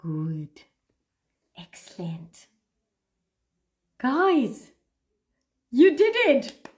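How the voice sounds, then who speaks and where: A woman with a fairly high voice leads an online English pronunciation lesson. She takes her time, speaking very slowly and deliberately so learners can follow and repeat. Her manner is encouraging and full of praise for the students. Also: wildly swooping pitch, darkish tone. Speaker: English teacher hosting a livestream lesson. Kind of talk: read-aloud pronunciation lesson, addressing learners.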